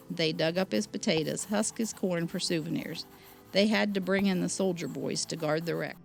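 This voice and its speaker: A woman's voice: lowish voice, gravelly. A woman acting as a rural eyewitness, narrating her recollections in an audio drama. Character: woman recalling rural crash aftermath as eyewitness. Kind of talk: scripted first-person narration.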